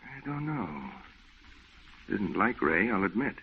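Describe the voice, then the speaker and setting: Deep, hearty vintage radio pal. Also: male voice, lively intonation, slow, breathy edge, heavily acted. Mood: reassuring. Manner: hearty, folksy, reassuring. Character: breezy, reassuring male friend, hearty busy office man. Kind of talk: old-time radio drama acting. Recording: muffled, narrow-band old radio recording.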